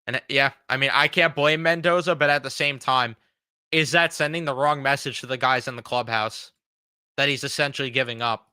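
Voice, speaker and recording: A man's voice: mid-range voice, fairly even intonation, fairly quick, sharp tone, clean tone, no echo, clean studio sound. A man in his twenties hosting a sports fan show, giving blunt, frustrated, swearing commentary while fighting a cold. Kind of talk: casual reaction commentary.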